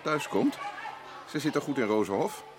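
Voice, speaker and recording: Een acteur die in een hoorspel een stugge zwemleraar speelt, kortaf en soms haperend. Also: male voice, medium pitch, very animated, moderate pace, crowd noise, close to an everyday voice. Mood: indignant, brusque. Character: gruff defensive swimming instructor with estranged wife. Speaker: radio drama actor. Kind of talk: Dutch radio play dialogue. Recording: noisy old radio drama recording.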